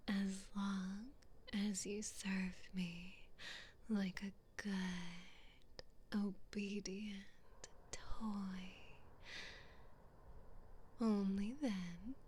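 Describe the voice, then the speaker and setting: A woman voicing a haughty, teasing evil queen in a breathy, condescending whisper for an ASMR roleplay. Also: medium pitch, darkish tone, a little hiss or hum. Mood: coolly menacing. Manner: slow, drawn-out, condescending, seductive.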